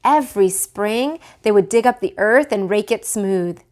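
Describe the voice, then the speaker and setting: A woman reading a picture book aloud to children in an expressive way, pausing to put questions to the listeners. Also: mid-range voice. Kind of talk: animated read-aloud storytelling for children.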